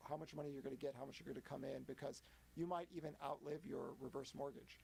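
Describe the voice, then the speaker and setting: Gravelly-voiced man in his forties. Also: mid-range voice, steady tone, a little mellow, slight background noise, poor audio. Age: 40s. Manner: halting, many restarts. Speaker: guest analyst. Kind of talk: casual interview explanation.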